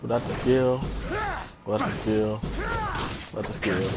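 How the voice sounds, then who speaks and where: A man's voice: on the low side, fairly even intonation, unhurried, loud music behind the voice. A man streaming a game live, casually commentating and muttering to himself as he plays.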